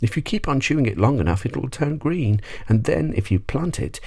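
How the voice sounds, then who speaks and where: A man's voice: mid-range voice, very animated, brisk, vocal fry, a little mellow, voice pushed up. A man voicing a clever young storybook boy with a coaxing, persuasive manner.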